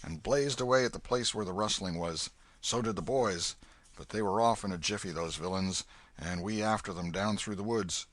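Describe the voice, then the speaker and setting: A man's voice: normal speed, no echo, natural voice. A man playing a hearty old Welshman. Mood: storytelling. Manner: hearty, booming, fatherly.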